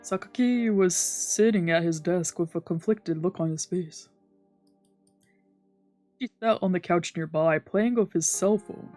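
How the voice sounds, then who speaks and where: A woman's voice: on the low side, measured pace, a little mellow. A woman streamer reading scripted first-person visual novel narration aloud. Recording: music behind the voice.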